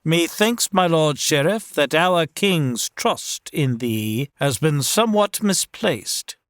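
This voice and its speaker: A man's voice: a little high, expressive, takes it slowly, a bit bright, no room sound, studio quality. A man playing a reproachful medieval Lord Chancellor in an audio drama, formal and theatrical in archaic English.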